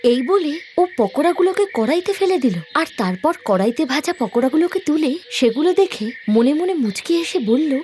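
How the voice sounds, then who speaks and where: High-pitched, animated woman storyteller. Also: moderate pace, hardly any pauses, slight background noise. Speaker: story narrator. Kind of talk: expressive scripted moral-story narration.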